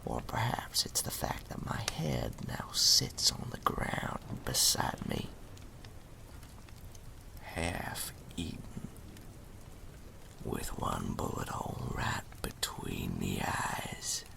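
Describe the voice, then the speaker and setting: A man voicing the sinister ghost of a murdered henchman, telling a spooky tale in a hushed, folksy, menacing way for an ASMR roleplay. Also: a bass voice, huge pitch swings, slow, full character voice. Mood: taunting, sinister. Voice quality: breathy, gravelly whisper. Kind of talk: ASMR roleplay, scary storytelling. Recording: reverberant, cavernous echo.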